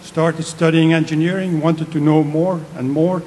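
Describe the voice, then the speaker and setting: A man's voice: a little high, fairly even intonation, normal speed, mellow. A man from Belgium in the audience telling his own story in short clauses. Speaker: audience member. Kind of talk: audience comment. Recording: echoey hall with faint noise.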